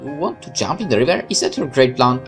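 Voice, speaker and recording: A woman's voice: rumbling low, lively intonation, quick pace, gravelly, soft background music, voice pushed up, exaggerated voice. A woman voicing a naive young male soldier in a visual novel, doubtful but caring. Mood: incredulous.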